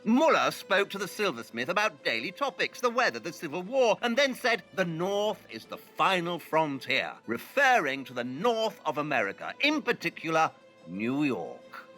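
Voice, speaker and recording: A man's voice: on the high side, wildly swooping pitch, normal speed, bright, forceful tone, faint music behind, exaggerated voice. A man playing a shrewd, precise, self-assured Victorian detective in an audio drama. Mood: dawning realisation.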